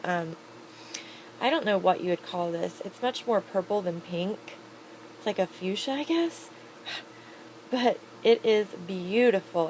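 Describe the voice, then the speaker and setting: A woman talks casually into her camera at home, upbeat and chatty, drifting through fillers as she reviews products. Her voice sits at a middling pitch, and a faint hiss runs underneath. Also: a little mellow, poor audio. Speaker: beauty vlogger.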